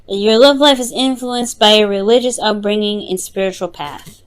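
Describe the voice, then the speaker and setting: A woman's voice: medium pitch, smooth. Woman giving an unscripted tarot reading. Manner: halting, repeats and rephrases phrases, earnest and reassuring. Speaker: tarot reader, host. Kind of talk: unscripted tarot card reading, addressed to the listener.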